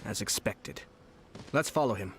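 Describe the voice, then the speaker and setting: An actor playing a composed, courtly, scheming lord in a video game, speaking in a measured, knowing way. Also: male voice, on the low side, animated, slight background noise. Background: music.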